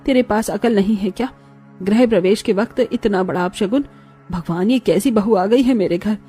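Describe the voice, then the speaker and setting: A woman voicing a scornful, sharp-tongued middle-aged mother-in-law who berates her family in a cartoon drama. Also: mid-range voice, moderate pace, soft background music, close to an everyday voice. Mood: angry scolding. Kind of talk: Hindi animated family-drama voice acting.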